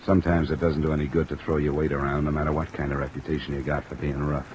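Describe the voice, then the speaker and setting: A dogged, hard-boiled investigator in a vintage radio mystery. His deep voice is clipped and matter-of-fact, set over a soft wash of rain on an old recording. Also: little pitch movement, fast talker, noisy background. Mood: accusing, firm. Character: tough, dogged, cool-headed insurance investigator. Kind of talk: old-time radio drama acting and narration.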